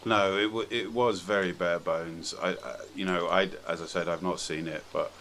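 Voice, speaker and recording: A man's voice: low-pitched, steady tone, normal speed, a bit bright, a little hiss or hum. A British man bantering casually on a podcast in a wry, jokey, informal way. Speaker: podcast speaker.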